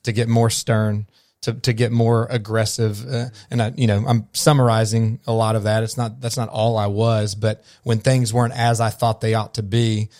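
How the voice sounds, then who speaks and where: A man's voice: lowish voice, little pitch movement, moderate pace, darkish tone, dry recording, clean studio sound. A man from Memphis, a guest on a podcast, talking earnestly and thoughtfully and often restarting his sentences. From Memphis, USA. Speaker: guest, coach. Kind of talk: reflective podcast conversation.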